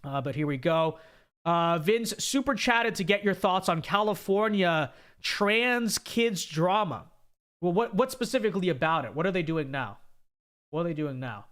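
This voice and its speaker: Young guy streamer, kinda high voice, scratchy sore throat, rambly and opinionated, reads super chats. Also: animated, normal speed, a bit bright. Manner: opinionated, repeats himself, many restarts. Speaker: livestream host. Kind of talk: casual livestream commentary and super chat reading.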